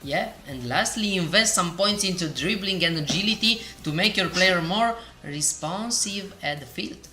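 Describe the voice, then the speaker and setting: A woman's voice: on the low side, lively intonation, normal speed, background noise. A woman co-hosting a gaming tips show, reading out advice steadily and answering her partner with short agreeing replies. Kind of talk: casual scripted gaming chat.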